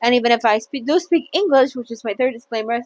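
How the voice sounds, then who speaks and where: A woman's voice: a little high, quick pace, a little mellow. A woman hosting a casual, chatty read-along of a novel, playful and often stumbling and correcting herself as she reads. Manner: playful, stumbles and self-corrects when reading. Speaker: host reading a novel aloud.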